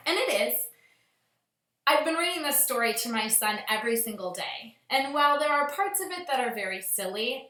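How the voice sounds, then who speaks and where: Female storytime reader, schoolteacher type, expressive and bright, echoey home recording. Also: a little high. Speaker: schoolteacher reading aloud to pupils. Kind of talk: children's storybook read-aloud.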